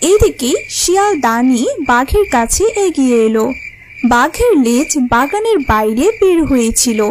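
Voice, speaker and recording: A woman's voice: sits high, very animated, normal speed, a little hiss or hum, low-quality recording. A woman narrating a scripted children's cartoon story.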